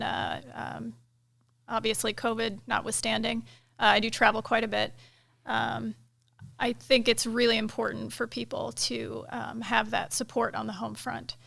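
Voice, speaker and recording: A middle-aged woman, a military pilot, talking through her career as a guest in a casual interview, relaxed and thoughtful, with many fillers. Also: on the high side, vocal fry. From United States. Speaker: KC-135 pilot and director of inspections, guest.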